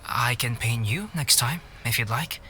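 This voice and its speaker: A man voicing a calm, cultured young artist in a video game, speaking in a measured, formal, politely aloof way. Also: mid-range voice, soft background music. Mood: gentle, reflective. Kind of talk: scripted game voice acting.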